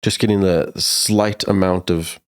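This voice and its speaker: A man's voice: deep voice, steady tone, unhurried, heavy background noise. A man giving a casual, slangy music production tutorial in a laid-back but enthusiastic way.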